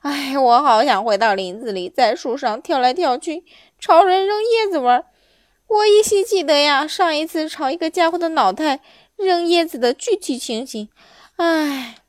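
Lady doing a whiny chatterbox monkey from a kids story, high voice, rambly and plaintive. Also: moderate pace, no room sound, clean studio sound, close to an everyday voice. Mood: wistful, chattering. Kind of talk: children's storybook character voice.

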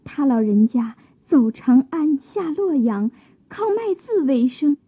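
An actress playing a gentle, modest young maiden of old China in a Chinese radio drama, with soft, coy period diction. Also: female voice, high-pitched, big pitch swings, slow, exaggerated voice. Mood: wistful, recounting. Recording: muffled narrow-band old radio drama.

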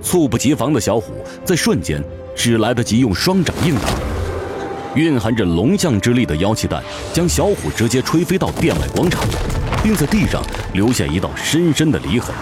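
A man's voice: mid-range voice, big pitch swings, normal speed. A man narrating a fantasy audio drama from a script, with intense, theatrical storytelling. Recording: music behind the voice.

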